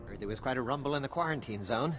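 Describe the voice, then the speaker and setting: An actor playing a friendly, laid-back local informant in a video game, with casual clipped phrasing. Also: male voice, medium pitch, very fast, fairly bright tone, big-room sound, faint music behind, natural voice. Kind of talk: scripted video game cutscene acting.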